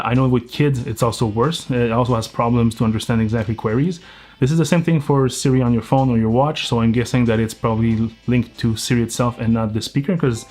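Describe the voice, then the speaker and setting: A man's voice: medium pitch, a little mellow. A man with a French Canadian accent giving a casual tech review, explaining as he goes and sometimes restarting his sentences. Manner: explanatory, thinking aloud with small restarts.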